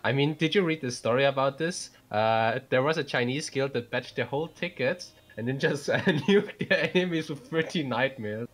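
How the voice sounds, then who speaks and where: A man's voice: mid-range voice, normal speed, hardly any pauses. A man co-hosting a casual gaming podcast, curious and teasing, asking a lot of questions. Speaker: podcast co-host.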